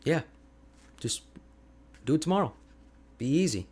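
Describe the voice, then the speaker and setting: Man acting as an energetic, friendly ice mage. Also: a little high, a little slow, breathy edge. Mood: encouraging, friendly. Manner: animated, chatty, informal. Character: energetic young male ice mage, friendly enthusiastic mentor. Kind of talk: ASMR roleplay audio drama, addressing the listener.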